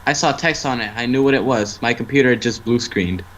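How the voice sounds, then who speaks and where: Male amateur narrator, mid-pitched, stumbles and rereads lines, light background noise on a livestream mic. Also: fairly quick, fairly bright tone, dull audio. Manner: stumbling over words and rereading phrases. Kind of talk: amateur story reading.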